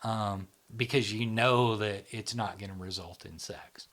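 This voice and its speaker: A man's voice: lowish voice, fairly even intonation, a little slow, fairly bright tone. A middle-aged man hosting a casual talk, explaining at length with many fillers like "right?" and "you know". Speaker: show founder and host.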